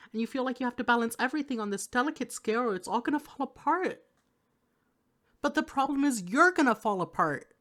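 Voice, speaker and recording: Woman acting a worried, pleading girlfriend, expressive pitch. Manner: earnest, pleading, caring scolding. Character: worried, exasperated female partner of an overworked listener. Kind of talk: intimate audio roleplay monologue addressed to the listener.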